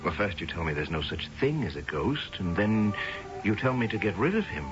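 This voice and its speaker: An actor playing a placating young English lieutenant in a radio drama, sheepish and doting. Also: male voice, on the low side, big pitch swings, brisk, over music. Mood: flustered, pleading. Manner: stammering, sheepish, sugary. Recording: dull vintage radio drama recording.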